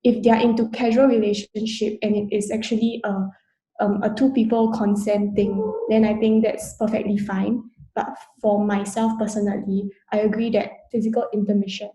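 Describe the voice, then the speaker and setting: A woman's voice: medium pitch, mellow, echoey room, rough recording. A Singaporean woman in her twenties, making reasoned, somewhat formal points as a guest on a casual panel. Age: 20s. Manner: measured, reasoned, a little formal.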